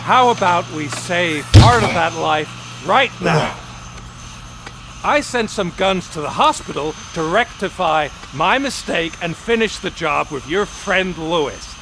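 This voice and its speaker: Loud engine noise surrounds a smug criminal villain. His voice is pitched high, and he taunts theatrically and with menace, in video game cutscene style. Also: expressive, a little slow. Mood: menacing taunting.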